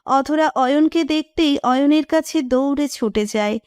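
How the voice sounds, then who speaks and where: A woman narrates a scripted romantic tale in a high voice. The sound is dry and close, with very little room around it. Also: measured pace, darkish tone, dry recording. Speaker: story narrator.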